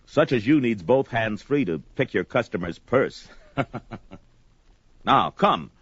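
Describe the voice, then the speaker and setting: An actor playing a fearless, gruff barbarian warrior with a commanding, taunting and grandly archaic way of talking, in a fantasy audio drama. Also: male voice, medium pitch, expressive, slow pace, fairly bright tone, no room sound, heavily acted. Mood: mocking, gruff. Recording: dull lo-fi audio drama, music behind.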